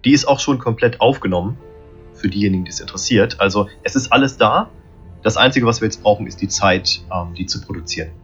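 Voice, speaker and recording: A man's voice: fairly low pitch, quick pace, slightly rough, muffled recording, soft background music. A man as a podcast guest, chatting eagerly and casually.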